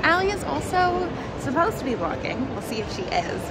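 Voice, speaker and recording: A woman's voice: high voice, very animated, normal speed, a loud crowd. A young adult woman vlogging in casual, chatty talk into a handheld camera at arm's length. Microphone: handheld camera or phone at arm's length. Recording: loud background noise on handheld camera.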